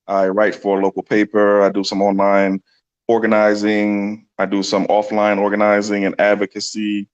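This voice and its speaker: Male guest, lower voice, earnest, thinks out loud. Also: completely flat delivery, moderate pace, smooth, muffled recording. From New York City. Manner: earnest, thinks aloud with ums and restarts. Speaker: guest, community organizer. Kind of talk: casual explanatory interview talk.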